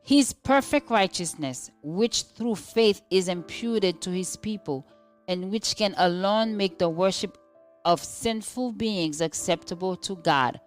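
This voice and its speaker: A woman giving a measured, solemn reading of a scripted devotional. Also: medium pitch, expressive, soft background music. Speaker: devotional reader.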